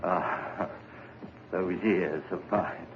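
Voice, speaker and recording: An actor playing a nervous, guilt-ridden young murderer in a 1940s radio drama, stammering and quick to panic. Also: male voice, sits low, steady tone. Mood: panicked. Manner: jittery, stammering, rising to panic. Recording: dull old radio recording with hiss.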